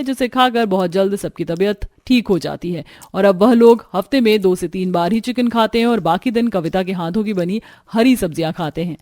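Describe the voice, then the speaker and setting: A woman's voice: mid-range voice, a little mellow, dry recording. A woman narrating a scripted family story as a storyteller.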